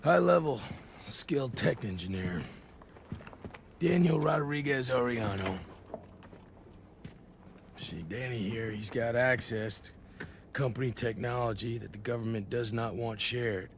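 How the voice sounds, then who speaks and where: Gravelly, cocky American covert operative. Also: male voice, mid-range voice, unhurried, long pauses, a little hiss or hum. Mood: smug menace. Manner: swaggering, sardonic, blunt. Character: cocky, menacing male covert operative, hard and sardonic. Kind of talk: game cutscene dialogue.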